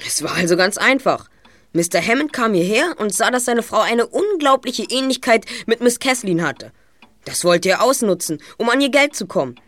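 A woman's voice: on the high side, expressive, normal speed, a bit bright, no room sound. A woman in a radio play acting a clever, inquisitive young detective who explains things articulately. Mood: explaining, assured.

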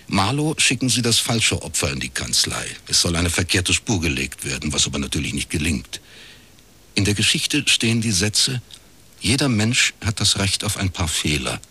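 An actor playing an educated, wry private detective in a German radio crime drama, with a laconic, deadpan delivery. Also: male voice, low-pitched, normal speed, husky, exaggerated voice. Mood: reflective. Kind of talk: German radio crime drama, first-person narration and dialogue.

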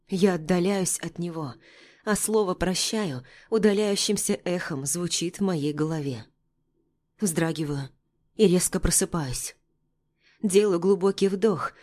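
A woman's voice: on the low side, darkish tone. A woman narrating a first-person novel as an audiobook, reading from a script.

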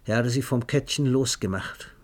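Ein Mann erzählt in einem Hörspiel eine literarische Geschichte, ausdrucksvoll, genau und mit leiser Ironie. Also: male voice, medium pitch, moderate pace, close to an everyday voice. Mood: wry, vivid. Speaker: narrator. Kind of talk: scripted literary narration for a radio play.

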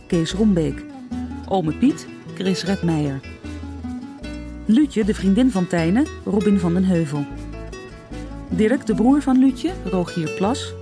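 Calm woman announcer over music. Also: mid-range voice. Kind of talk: reading radio drama credits.

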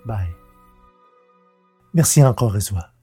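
A man's voice: lowish voice, lively intonation, takes it slowly, loud music behind the voice. A man reading scripted podcast announcements as host.

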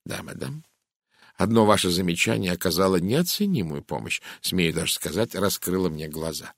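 A man voicing a polite, methodical little Belgian detective in an audiobook, courteous, measured and deliberate. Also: low-pitched, animated, creaky, no room sound, studio quality, heavily acted. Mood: courteous, sly. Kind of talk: audiobook character voice.

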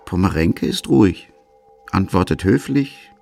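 Gravelly low-voiced male documentary narrator. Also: animated, warm tone. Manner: deliberate short phrases, storytelling. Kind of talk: scripted radio documentary narration, dramatic present tense. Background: music.

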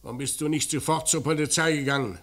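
An actor playing a gruff, sardonic police commissioner in an old German radio crime drama, brusque and commanding with a mocking, fatherly sternness. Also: fairly high pitch, fast, fairly bright tone, natural voice. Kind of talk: mid-20th-century German radio crime drama acting.

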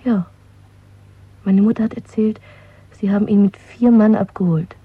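An actress playing a young, pragmatic, questioning secret lover in a German radio drama, with a husky, breathy voice. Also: female voice, mid-range voice, steady tone, normal speed, no echo. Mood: guarded, matter-of-fact. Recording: dry, narrow phone-like sound.

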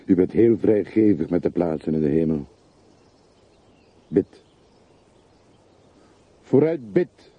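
A man's voice: deep voice, very animated, slow, pauses often, husky, dry recording, heavily acted. An actor playing a plain-spoken, deferential working man in a radio play, politely and earnestly insistent, on an old recording. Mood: gently insistent. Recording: noisy, muffled, phone-like old radio recording.

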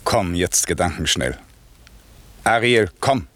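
Deep, commanding old magician. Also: male voice, animated, takes it slowly, a bit bright, slight background noise, full character voice. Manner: solemn, declamatory, stern. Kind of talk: classical German radio drama acting.

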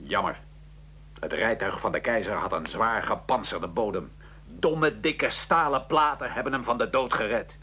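A man playing a wry, bitter, unrepentant revolutionary in a radio drama, speaking in a measured, sardonic way. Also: on the low side, bright, forceful tone, a little hiss or hum. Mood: wry regret.

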